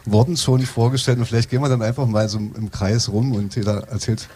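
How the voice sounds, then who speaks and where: A man's voice: mid-range voice, steady tone, fairly quick, dark tone. A man casually hosting a live discussion, with wordy, roundabout phrasing. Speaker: host of a live radio discussion. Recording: some background noise, live event ambience.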